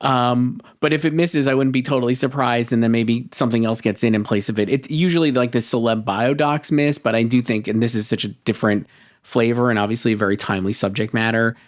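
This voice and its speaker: A man co-hosting a casual film awards discussion in a tentative, halting conversational manner. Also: mid-range voice, fairly even intonation. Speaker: podcast co-host.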